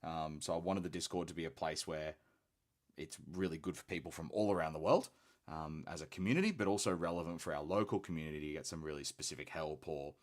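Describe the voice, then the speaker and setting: A man from Australia with a rather posh-sounding accent, chatting casually while he paints on a live hobby stream. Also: a bass voice. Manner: relaxed, chatty, self-deprecating.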